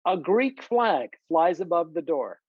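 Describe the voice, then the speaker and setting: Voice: medium pitch, big pitch swings, unhurried, slightly rough, no echo, narrow, tinny audio. A narrator reading screenplay stage directions and scene headings in a scripted style. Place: close, dry room on a video call.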